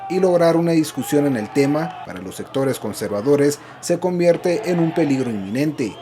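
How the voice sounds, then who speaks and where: Male narrator, formal and even. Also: fairly high pitch, normal speed. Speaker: documentary narrator. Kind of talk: scripted narration.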